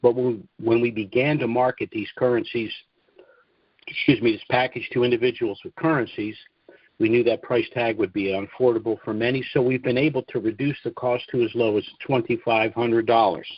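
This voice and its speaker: Male American call host, measured halting monologue, dry and slightly lo-fi sound. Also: mid-range voice, steady tone, no echo. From United States. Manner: deliberate, halting, frequent throat-clearing. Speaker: conference call host promoting trust services. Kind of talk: informal monologue on a conference call. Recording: dull, dry conference call audio.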